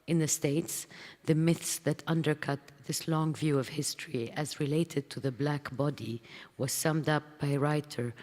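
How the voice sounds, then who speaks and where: A woman reading a formal written talk aloud in a measured, deliberate, literary manner, with a gravelly voice. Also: low-pitched, a little mellow. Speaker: speaker reading another writer's paper. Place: conference hall, public event. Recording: echoey hall with long reverb.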